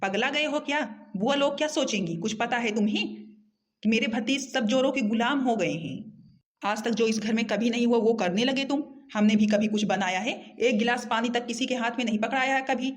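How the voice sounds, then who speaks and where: A woman's voice: on the high side, lightly acted. A woman voicing a domineering, sarcastic elder brother-in-law in an amateur cartoon comedy. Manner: sarcastic, hectoring.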